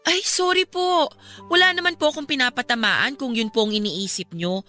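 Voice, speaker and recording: Earnest, bright-voiced Filipina friend. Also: female voice, sits high, moderate pace, soft background music. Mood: earnest reproach. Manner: earnest, polite yet accusing. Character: young woman, outspoken, caring loyal friend. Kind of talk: Filipino radio drama acting.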